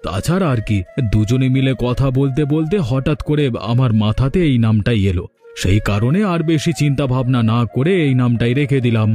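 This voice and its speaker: A man's voice: mid-range voice, fairly quick, dark tone, lightly acted. Man playing a generous, humble village shopkeeper. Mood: friendly. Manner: friendly, welcoming. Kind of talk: cartoon dialogue. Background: background music.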